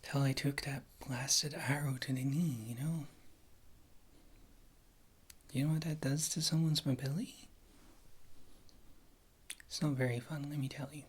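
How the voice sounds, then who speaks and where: A woman playing a wry witch healer and former adventurer in a fantasy ASMR roleplay, speaking in a soft, breathy whisper close to the microphone. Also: very deep voice, fairly even intonation, exaggerated voice. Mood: soothing, chatty. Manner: rambling, wry, gentle, unhurried.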